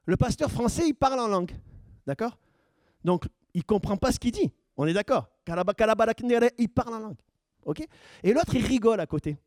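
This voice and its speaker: A man's voice: sits high, animated, fairly quick, darkish tone, no room sound, clean studio sound. A man preaching as pastor, questioning his congregation and building to emphatic points. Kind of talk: sermon teaching.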